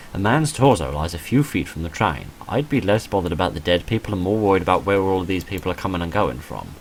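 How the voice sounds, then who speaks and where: A man with a deep voice reads a visual novel aloud while playing. His delivery swings widely in pitch as he dramatises the text, then drops into dry, understated asides. A light noise sits behind the voice. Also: poor audio. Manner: dry, understated humour, dramatic when reading. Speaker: gamer recording a playthrough.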